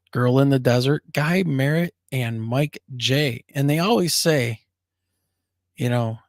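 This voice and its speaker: An upbeat man hosts a casual live chat, laughing easily between remarks. His voice sounds scratchy and worn, pitched a little high. The sound is dry, with no room echo. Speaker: co-host of a paranormal talk show.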